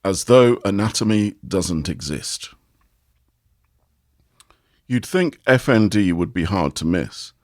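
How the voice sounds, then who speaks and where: A man giving a measured, expressive reading of a long article aloud. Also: low-pitched, no room sound, studio quality. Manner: measured, expressive reading with lively rise and fall. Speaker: reader of an article. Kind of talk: read-aloud article narration.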